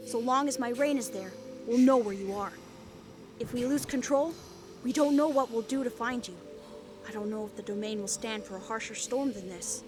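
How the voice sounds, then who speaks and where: A woman voices a wounded young samurai in an audio drama, speaking in a tired, dry, sardonic way. The voice is high for a young man, with music playing clearly behind it. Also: close to an everyday voice.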